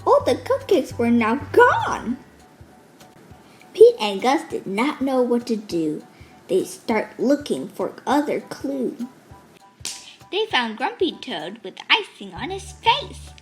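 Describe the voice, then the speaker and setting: Slow, animated woman reading a storybook. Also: a little high. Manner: expressive, deliberate. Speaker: children's storytime reader. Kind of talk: read-aloud storybook narration. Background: music.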